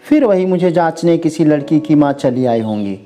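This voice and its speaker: A storyteller narrating a scripted first-person story in an expressive, dramatized way. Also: mid-range voice, moderate pace. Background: background music.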